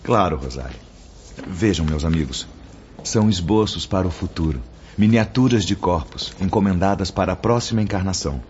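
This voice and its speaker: An actor playing a calm, authoritative spirit mentor in a radio drama, speaking in a formal, didactic way. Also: male voice, deep voice, a little fast, a little hiss or hum. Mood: courteous. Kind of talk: radio drama acting, formal instructive speech. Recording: dull lo-fi audio. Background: background music.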